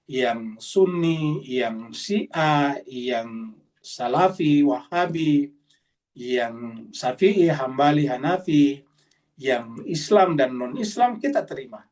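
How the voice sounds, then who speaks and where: A man's voice: mid-range voice, lively intonation, takes it slowly, pauses often, darkish tone, far from the mic. A middle-aged man teaching a Quran study session, explaining Arabic phrase by phrase with frequent "ya" tags. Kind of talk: religious study lecture. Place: study gathering, online session.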